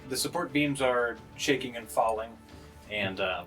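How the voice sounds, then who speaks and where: A man running a tabletop game as game master, narrating scenes off the cuff with halting pauses to think. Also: medium pitch, a bit bright, faint music behind.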